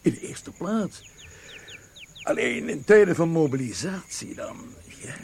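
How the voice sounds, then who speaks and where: A man's voice: a little high, sing-song, a little slow, creaky, breathy edge, heavily acted. An actor playing a genial, knowing, well-connected military man in a Dutch radio play.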